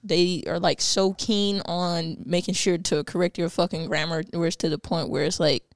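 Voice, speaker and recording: A woman's voice: lowish voice, fairly even intonation, normal speed. A woman chatting casually, talking with frequent "like" and restarts. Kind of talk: casual chat.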